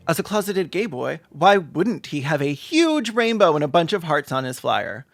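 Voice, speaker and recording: A comedic commentator hosting a reaction video. The delivery is theatrical and dripping with sarcasm, with pitch that swings widely. The sound is dry, with very little room. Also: no echo.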